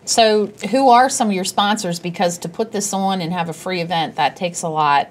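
A middle-aged woman hosts a casual studio interview in a slightly low voice. She asks questions and chimes in often with friendly affirmations, recorded on a lapel mic. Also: normal speed. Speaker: host. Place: TV studio set.

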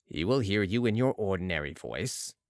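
Man acting a deep, commanding mesmerist. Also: moderate pace, dry recording, studio quality. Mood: assured. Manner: calm, assured, commanding. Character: commanding, serene male mesmerist with mystical authority. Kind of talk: audiobook character voice.

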